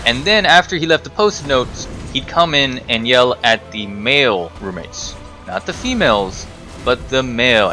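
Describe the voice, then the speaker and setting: Bright-voiced man rambling through gameplay. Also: mid-range voice. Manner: rambling, self-correcting, muttered swearing at the game. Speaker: gaming video host telling stories while playing. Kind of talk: casual storytime commentary over gameplay.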